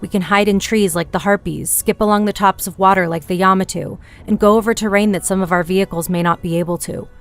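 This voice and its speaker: A woman playing a firm, composed military instructor, speaking in a measured teaching manner. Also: mid-range voice, steady tone, faint music behind, lightly acted. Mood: firm, instructive. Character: Sergeant Helena, firm, composed female broom-riding instructor. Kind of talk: audio drama character acting.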